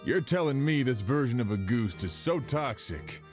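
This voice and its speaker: A man's voice: medium pitch, big pitch swings, moderate pace, a little mellow, soft background music, close to an everyday voice. An actor playing a skeptical, inquisitive man who questions things expressively in scripted video game dialogue.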